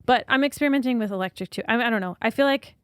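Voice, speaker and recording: A young woman, a singer-songwriter guest, talking casually and thoughtfully in a podcast interview, soft-spoken with many fillers. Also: a little high, racing, smooth, no echo, clean studio sound.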